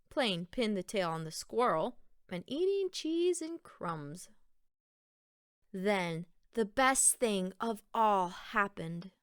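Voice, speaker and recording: A woman narrating a children's picture book aloud in a deliberate, expressive storytelling manner. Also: medium pitch, smooth, dry recording, studio quality.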